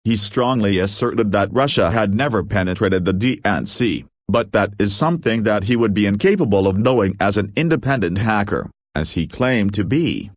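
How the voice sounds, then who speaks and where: A man narrating a scripted news analysis in a steady, formal read. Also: lowish voice, moderate pace, few pauses, no echo, clean studio sound.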